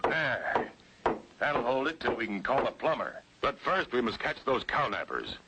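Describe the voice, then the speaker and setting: A steady heroic pilot. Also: male voice, mid-range voice, a little slow, very noisy. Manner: steady, commanding. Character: calm heroic male pilot, steady leader.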